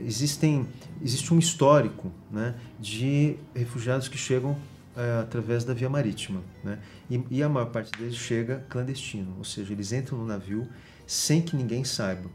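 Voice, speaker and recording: Man, brazilian accent, professor in an interview, halting explanations, faint music behind. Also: mid-range voice, a little mellow. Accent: Brazilian Portuguese. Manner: explanatory, many fillers and restarts. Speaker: guest professor.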